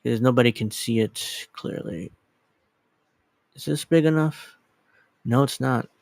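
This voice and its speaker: A man's voice: medium pitch, measured pace, creaky, darkish tone, no echo. A man in his thirties chatting casually as a livestream host, rambling and scattered, self-deprecating and casually profane. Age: 30s. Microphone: dedicated microphone.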